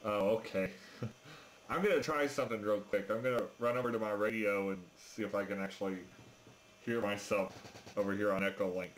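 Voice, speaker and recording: Male radio hobbyist, lowish voice, halting casual talk over a link with faint noise. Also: fairly even intonation, low-quality recording. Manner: hesitant, halting. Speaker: amateur radio operator. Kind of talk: casual ham radio chat.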